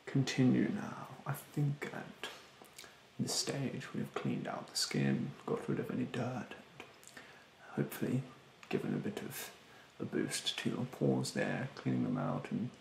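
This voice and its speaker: A young man doing a soft-spoken ASMR roleplay in a breathy, hushed voice, politely snide and condescending with drawn-out, hesitant phrasing. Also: medium pitch, wildly swooping pitch, echoey room, slight background noise, low-quality recording. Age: young adult. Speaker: ASMR performer playing a rude facial therapist. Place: bedroom, indoors.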